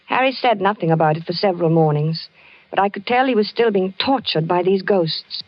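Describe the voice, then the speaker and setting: An actress playing a worried, devoted wife who narrates in a 1940s radio drama. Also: female voice, medium pitch, expressive, fairly quick, lightly acted. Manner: anxious, coaxing. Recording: dull old radio broadcast with music.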